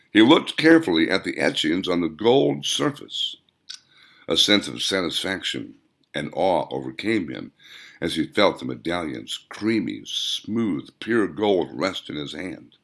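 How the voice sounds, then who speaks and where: Low-voiced man narrating with lively pitch. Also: creaky. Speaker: author reading own audiobook. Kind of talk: scripted audiobook narration.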